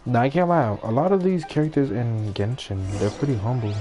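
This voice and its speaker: A man's voice: mid-range voice, a little fast, warm tone. A young man giving casual, slangy, rambling commentary as he streams a game. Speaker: gaming streamer. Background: game music.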